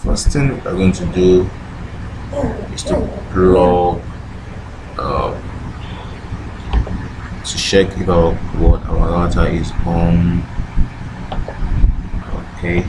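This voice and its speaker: Low-pitched man teaching over background noise. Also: very slow, pauses often. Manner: asks and answers own questions with repeated "what". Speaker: instructor. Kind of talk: informal screen-recorded tech tutorial.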